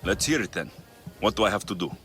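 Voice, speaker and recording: An actor playing a gruff, honest and weary mercenary with a dry, sardonic delivery in a video game. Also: male voice, on the low side, animated, fast, fairly bright tone, faint music behind. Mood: exasperated. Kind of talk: video game cutscene acting.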